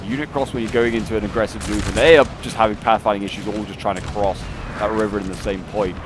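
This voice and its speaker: Man, lowish voice, casual game commentary, rambling with lots of fillers, gunfire and cannon fire clearly heard underneath. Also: fairly even intonation, fast, noisy background. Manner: rambling, with many fillers and restarts. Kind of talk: casual commentary over a strategy game battle. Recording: loud game battle sounds under voice. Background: in-game gunfire and artillery.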